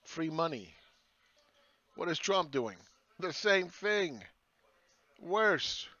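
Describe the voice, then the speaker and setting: A man's voice: a little high, lively intonation, very slow, bright, forceful tone, dull audio. A man hosting his own channel, ranting sarcastically over charts and firing rhetorical questions at critics.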